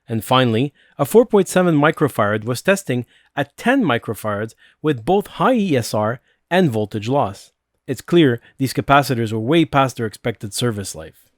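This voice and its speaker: A man's voice: medium pitch, no echo. A man narrating a scripted repair voice-over as the host of a how-to series. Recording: dry close voice-over with music behind. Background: background music.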